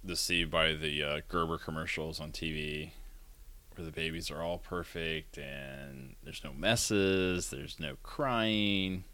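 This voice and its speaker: A man in his thirties with a deep voice, hosting a casual podcast chat in a rambling, chatty way. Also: slow, sharp tone. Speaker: podcast host.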